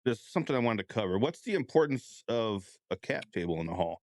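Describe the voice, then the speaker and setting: A man's voice: fairly low pitch, big pitch swings, fairly quick, no echo, studio quality. A man chatting casually and bluntly as a podcast co-host. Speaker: podcast co-host asking questions.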